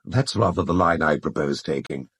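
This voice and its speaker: An actor with a British accent playing a languid, waspish, urbane theatre critic in a radio drama, with an arch, dry drawl. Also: male voice, very deep voice, moderate pace, fairly bright tone, breathy edge, exaggerated voice. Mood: arch, curious.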